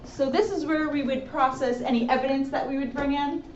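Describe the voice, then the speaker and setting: A high female voice walks viewers through a station in a plain, explanatory way, as an officer on an informal tour. The sound is echoey and distant, as if caught on a camera microphone moving through hallways, with noticeable noise. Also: brisk. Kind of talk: informal guided tour narration. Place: indoor rooms and hallways of a police station. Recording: roomy, muffled, noisy, distant camera microphone.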